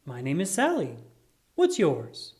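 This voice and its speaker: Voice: medium pitch, wildly swooping pitch, takes it slowly, a little mellow. A storybook reader voicing a dreamy, lovestruck little girl who gushes. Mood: gushing. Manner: gushing.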